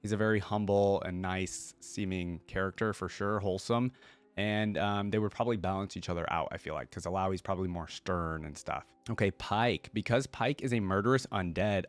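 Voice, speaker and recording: Male reaction host, lowish voice, dry close sound, conversational read-and-comment delivery that thinks out loud. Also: no echo. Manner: conversational, thinking aloud, musing. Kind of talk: casual reaction commentary, reading aloud and commenting.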